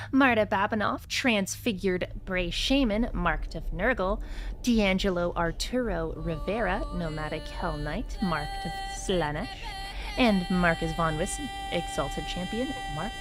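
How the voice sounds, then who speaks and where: A woman hosting a tabletop fantasy game as game master, moving between casual chat and scripted storytelling. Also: on the low side. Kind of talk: casual table talk and dramatic scripted fantasy recap narration.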